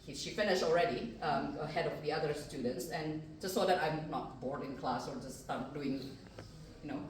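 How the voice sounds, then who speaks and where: A woman's voice: low-pitched, moderate pace, slight background noise. Echoey Indonesian-accented woman on a panel. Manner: earnest and chatty, with many fillers. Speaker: mathematics professor on a panel. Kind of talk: personal storytelling on a panel. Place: festival panel room. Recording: echoey room, distant mic.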